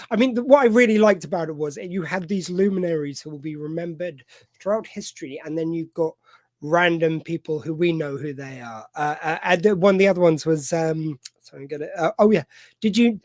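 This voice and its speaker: A man with a fairly high voice hosts a loose film chat, drifting and restarting his sentences as he goes. The sound is dry and close, with no room around it. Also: a little mellow, no room sound. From United Kingdom. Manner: rambling, halting, many fillers and restarts. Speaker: podcast host. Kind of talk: casual film discussion. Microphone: video call mic.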